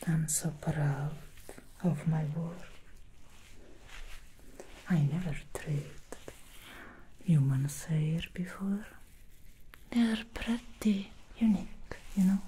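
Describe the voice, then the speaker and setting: A woman voicing a seductive female demon hairstylist in an ASMR roleplay, whispering softly close to the mic with slow, soothing reassurances. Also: deep voice, a little hiss or hum, rough recording. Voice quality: soft whisper. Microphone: close sensitive ASMR mic.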